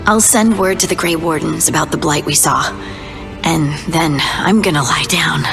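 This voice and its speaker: An actress playing an earnest, thoughtful young dwarf scout who speaks hesitantly. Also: female voice, low-pitched, normal speed, vocal fry. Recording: game dialogue with music behind.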